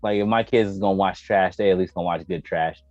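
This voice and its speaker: An American man co-hosting a casual chat, slangy and profane, breaking into exclamations and halting as he gathers his opinions. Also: low-pitched. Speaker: podcast co-host. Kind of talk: casual banter about movies and anime. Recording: roomy, dull microphone sound with music behind.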